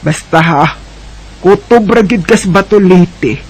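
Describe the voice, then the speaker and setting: A man's voice: high voice, slightly rough, low-quality recording. A man with a Bisaya Filipino accent voicing a worried, cautioning village mother in a radio drama, drawing out the words. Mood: worried, reluctant. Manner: slow, drawn-out. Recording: faint background noise.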